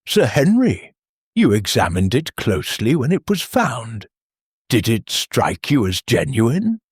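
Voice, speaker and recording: A man voicing a placid, shrewd elderly village lady sleuth, with a lilting, calm but cutting delivery in an audiobook mystery. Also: medium pitch, a little slow, slightly rough, no echo, studio quality, heavily acted.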